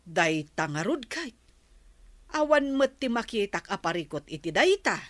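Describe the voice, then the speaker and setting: A woman plays a nosy housemaid in a radio drama. She drawls slowly and inquisitively, in a mid-pitched voice with a dry sound. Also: sing-song, no echo. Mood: gossipy, teasing. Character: slow, nosy, gossiping household maid. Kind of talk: Ilocano radio drama acting.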